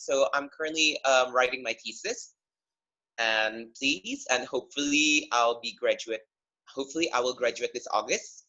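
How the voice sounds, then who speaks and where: A man's voice: medium pitch, bright, forceful tone. A young man in his twenties with an Indonesian accent gives an earnest webinar presentation, often restarting his sentences and saying "you know". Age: 20s. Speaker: webinar speaker. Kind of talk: presentation over a video call. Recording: dull lo-fi call audio.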